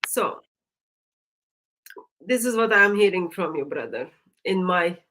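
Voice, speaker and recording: A woman with a Turkish accent, a native Turkish speaker, hosting a religious discussion show in a deliberate, emphatic way that leans on repeated phrases. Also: fairly low pitch, lively intonation, pushed and bright, a little hiss or hum, poor audio. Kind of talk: live discussion with evangelistic exhortation.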